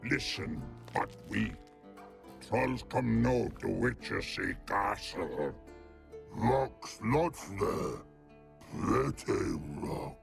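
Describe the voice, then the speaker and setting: An actor voicing a slow-witted lumbering troll with a gravelly voice and broken, halting grammar, in a fantasy game. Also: male voice, fairly low pitch, animated, faint music behind, exaggerated voice. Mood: dim, stubborn.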